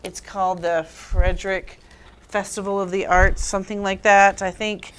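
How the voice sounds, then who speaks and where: A woman in her thirties co-hosting a live craft stream from a workshop, easygoing and quick to laugh, recorded by a camera mic. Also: mid-range voice, little pitch movement, a little hiss or hum. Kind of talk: casual chat while crafting.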